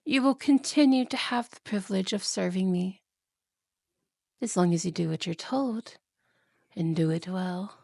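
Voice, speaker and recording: A woman's voice: medium pitch, measured pace, vocal fry, pauses often, a little mellow, no echo, clean studio sound. A woman playing a haughty, cruel evil queen and wicked stepmother, imperious, scolding and condescending, in a fantasy audio roleplay. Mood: condescending, falsely gentle. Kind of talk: fantasy ASMR roleplay acting.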